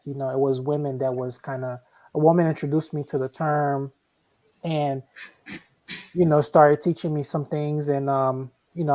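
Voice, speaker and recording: Flat-voiced man, steady and earnest. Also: medium pitch. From Haiti. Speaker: guest. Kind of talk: casual panel chat.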